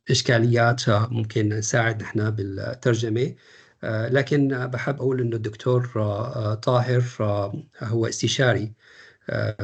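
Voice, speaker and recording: Hesitant host with a gravelly husky voice. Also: male voice, mid-range voice, a little mellow. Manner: many drawn-out uh fillers, switches between Arabic and English. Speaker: host introducing a lecture. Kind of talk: hesitant bilingual introduction.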